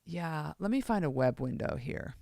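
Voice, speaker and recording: A woman with a low voice, rambling excitably on a casual live stream as she plays bass and fiddles with her gear. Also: normal speed, creaky, no echo, clean studio sound. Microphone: streaming setup mic.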